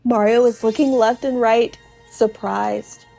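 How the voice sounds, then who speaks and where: A woman hosting a game podcast, narrating play dramatically with drawn-out, descriptive phrasing and a sense of wonder. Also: on the high side, fairly even intonation, creaky, mellow, soft background music, rough recording. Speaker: podcast host playing a video game.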